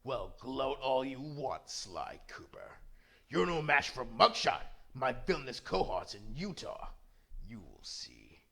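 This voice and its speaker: Male performer as haughty frog villain, melodramatic, bright and gravelly, bitterly proud, video game boss. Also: medium pitch, big pitch swings, a little slow, heavily acted. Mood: bitter defeat. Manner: melodramatic, pompous.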